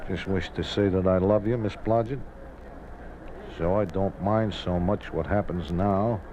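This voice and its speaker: Sincere resigned american bookie, low voice, quaint gambler talk, dull noisy old radio drama. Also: male voice, steady tone, measured pace. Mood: tender, resigned. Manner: plain-spoken, quaintly formal gambler's slang. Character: sincere, resigned racetrack bookie in love, Runyonesque talker. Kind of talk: 1950s radio drama acting.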